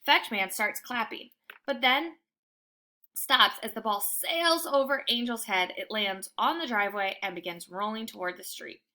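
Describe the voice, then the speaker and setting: A woman reading a children's book aloud as storyteller, with expressive, dramatic and deliberate phrasing for young listeners. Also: a little high, slight background noise.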